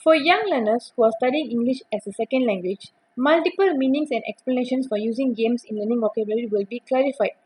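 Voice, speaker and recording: A young woman, reading a prepared academic presentation on teaching vocabulary, steady with occasional restarts on words. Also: on the high side, fast talker, few pauses, slightly rough, poor audio. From Malaysia.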